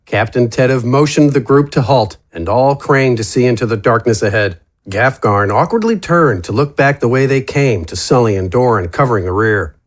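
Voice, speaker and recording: A man narrating a fantasy audio drama in a scripted, dramatic storytelling style. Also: medium pitch.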